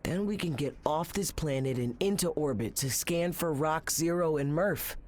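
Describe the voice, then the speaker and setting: A man playing an eager, plucky teenage boy who leads a crew of cadets in an animated game. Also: fairly high pitch, moderate pace, natural voice. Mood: earnest.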